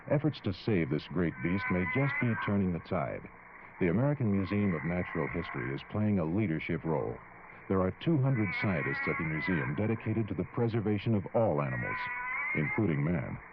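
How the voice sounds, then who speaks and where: A man reading a scripted public service announcement as a radio announcer, earnest and measured. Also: low voice, big pitch swings, very noisy. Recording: muffled radio spot, loud background sounds. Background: underwater whale recordings.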